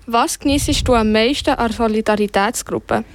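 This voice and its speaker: A woman's voice: on the high side, moderate pace, no echo. A woman hosting a community radio interview, giving the station links and asking plain, friendly questions. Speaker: radio host.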